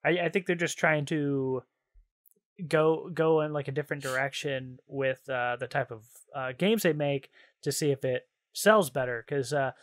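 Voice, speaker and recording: A man's voice: mid-range voice, normal speed, no room sound, clean studio sound. A man hosting a video game podcast, chatting casually and enthusiastically in informal slang. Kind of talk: casual chat about video games.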